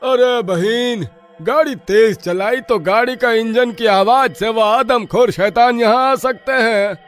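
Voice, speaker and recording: Man acting a high-pitched, nervous bus driver. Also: takes it slowly, soft background music. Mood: anxious warning. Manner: urgent warnings, scolding, anxious. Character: nervous, bossy village bus driver, superstitious and wary.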